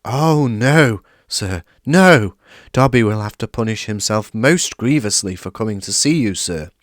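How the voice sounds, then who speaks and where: A man voicing a small, servile and tearful male house elf who talks about himself by name in a high, excitable way. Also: a little slow, no echo, clean studio sound. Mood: earnest.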